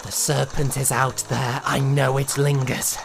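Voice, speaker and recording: A man's voice: medium pitch, little pitch movement, unhurried, vocal fry, crowd noise. A man playing a grieving young prince in a fantasy audio drama, formal and solemn, bent on vengeance. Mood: grim resolve.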